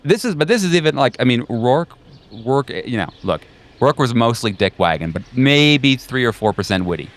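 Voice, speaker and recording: A man improvising narration as game master in a conversational, halting way. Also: medium pitch, animated.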